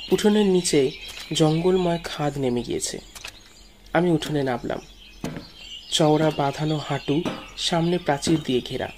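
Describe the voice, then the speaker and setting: A man's voice: on the high side, a little hiss or hum. A man narrating a ghost story dramatically in the first person, as a storyteller. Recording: music behind the voice.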